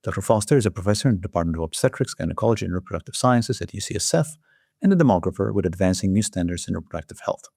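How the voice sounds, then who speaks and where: A man hosting a formal interview, speaking in measured phrases as he delivers scripted introductions and questions. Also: sits low, animated, creaky, a little mellow, slightly rough, dry recording, clean studio sound. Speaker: host and interviewer, journal editor.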